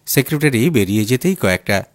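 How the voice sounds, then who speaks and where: A male audiobook narrator reads literary fiction expressively. His pitch is mid-range and moves freely with the sentences, and the recording is close and dry. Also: expressive, a little fast, no room sound. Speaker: audiobook story reader.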